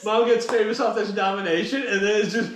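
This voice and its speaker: A man's voice: high-pitched, fairly even intonation, a little fast, recorded from a distance, a crowd in the background. A young man hosting a podcast in casual, joking, self-mocking chat. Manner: jokey, self-mocking, laughs through his sentences. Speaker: podcast host. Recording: roomy echo.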